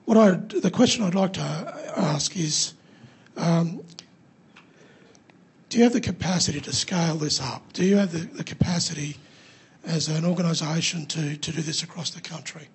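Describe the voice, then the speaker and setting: A man with a croaky voice, asking a question from the audience in a halting way with many ums. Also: on the high side.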